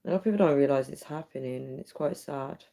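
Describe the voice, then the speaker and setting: A tired woman, her voice nearly gone, speaking softly and earnestly as she chats with viewers on a live stream from her home at night. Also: a bass voice, quick pace, vocal fry, noisy background. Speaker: livestreamer. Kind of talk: casual live chat reading viewer comments. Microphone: built-in device mic, no dedicated microphone.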